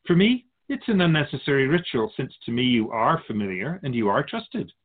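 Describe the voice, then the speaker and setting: A man playing a calm, wise otherworldly spirit guide in a radio drama, measured, philosophical and gently teasing. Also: medium pitch, husky. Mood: amused, explaining. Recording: muffled phone-like narrow band.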